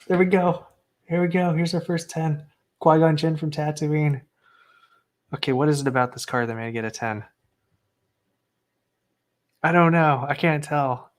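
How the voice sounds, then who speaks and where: A young man casually commenting as he unboxes graded trading cards, understated and matter-of-fact. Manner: low-key and understated, self-described as not excitable.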